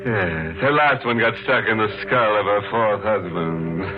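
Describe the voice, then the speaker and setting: An actor playing a gleefully macabre horror host and storyteller on a 1950s radio show, with a theatrical, mock-genial delivery. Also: male voice, fairly low pitch, measured pace, lightly acted. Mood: ghoulishly jovial. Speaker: host and narrator. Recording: tinny old radio broadcast with music.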